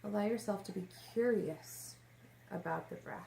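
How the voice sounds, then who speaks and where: A woman leading a guided meditation as a yoga nidra teacher, giving gentle, unhurried instructions with long pauses. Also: medium pitch, gravelly. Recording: roomy echo, faint background noise.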